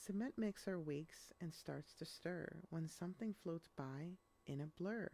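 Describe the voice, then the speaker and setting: Woman doing a kids book read aloud, lowish voice, takes her time, expressive. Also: measured pace, vocal fry. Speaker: storyteller reading a children's book.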